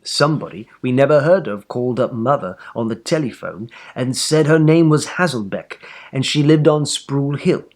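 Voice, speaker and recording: A man reading a children's book aloud in an animated, expressive storytelling manner. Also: mid-range voice, moderate pace, few pauses. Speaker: story reader.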